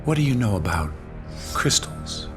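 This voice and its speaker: A middle-aged megalomaniac in a video game cutscene, smug and sarcastic. His delivery is slow and theatrical, swinging widely in pitch over a film score. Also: big pitch swings, music in the background. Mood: smug, taunting. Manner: smug, theatrical, sarcastic, drawn-out emphatic phrasing. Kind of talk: dramatic film-style villain acting.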